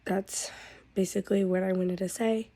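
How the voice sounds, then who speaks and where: A woman's voice: medium pitch, flat delivery, normal speed, mellow, no room sound. A woman vlogger who is sick, talking to the camera in a weary, casual way. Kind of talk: casual vlog talk.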